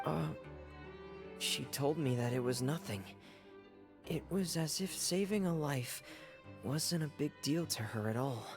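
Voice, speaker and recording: A timid, creaky-voiced young man. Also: a little high. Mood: subdued. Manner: hesitant, halting, soft-spoken. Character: timid, polite young man recovering from an ordeal. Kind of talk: video game voice acting. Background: background music.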